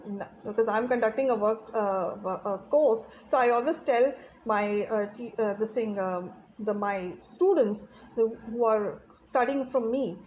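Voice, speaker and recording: A middle-aged woman, an English teacher interviewed as a guest. Her voice sits a little high, and she explains things earnestly, stopping often to hunt for the right word. There's a faint hiss in the background. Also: darkish tone, poor audio. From Pakistan. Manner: earnest, explanatory, pauses often to find words. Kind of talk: interview answers.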